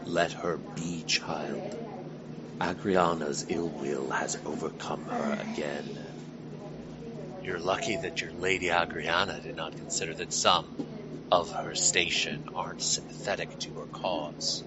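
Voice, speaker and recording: A man playing a weary, haunted fae lord in a fantasy audio drama, speaking formally and mournfully with halting, measured phrasing. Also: low voice, fairly even intonation, very noisy. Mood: solemn regret.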